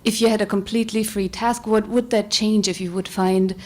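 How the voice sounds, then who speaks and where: A woman's voice: medium pitch, steady tone, fairly quick, darkish tone. Female audience member; friendly, probing question in a lecture room. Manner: friendly, searching, restarts. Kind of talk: asking a question at a conference.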